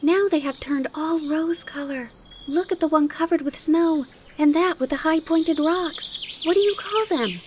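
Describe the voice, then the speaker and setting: A woman voicing an excitable, curious little girl in a storybook, full of exclamations and eager questions. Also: sits high, moderate pace, background noise, voice pushed up, natural voice. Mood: excited, amazed.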